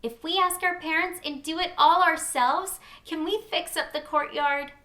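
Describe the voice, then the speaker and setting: An eager little girl, played by a woman reading a storybook, very high and bright. She is hopeful and excitable, leaning on her words in a pleading sing-song. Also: normal speed, echoey room. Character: eager, hopeful little girls with big ideas. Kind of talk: children's storybook character reading.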